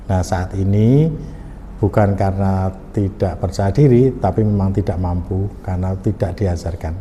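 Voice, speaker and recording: A man with a low, very mellow voice explains in a measured, teacherly way. He sounds like an interviewed expert, recorded in a reverberant hall with some noise. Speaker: language expert being interviewed. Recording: echoey hall with background noise.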